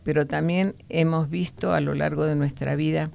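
Low, gravelly woman teaching the Bible. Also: fairly even intonation, a little mellow, a little hiss or hum. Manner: explanatory, often ending points with a questioning "no?"; unhurried, with frequent hesitation fillers.

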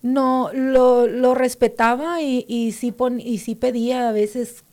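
A woman's voice: fairly high pitch, little pitch movement, slow pace. A woman from Mexico, giving a hesitant personal faith testimony as an interview guest. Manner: hesitant, many fillers and restarts, mellow.

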